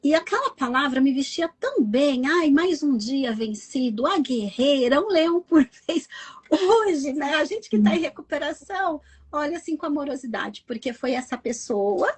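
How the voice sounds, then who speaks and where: A woman hosting an online live conversation, talking in a lively way and calling on her viewers to join in. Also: high-pitched, normal speed. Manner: lively, addresses the audience directly and invites participation. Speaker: host of a live stream.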